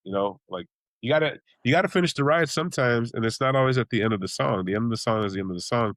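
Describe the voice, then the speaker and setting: A man from Chicago, a blues singer and bandleader, talking casually in an interview, rambling with many restarts and acting out reactions in sudden high exclamations. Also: lively intonation, fast, a bit bright, dry recording.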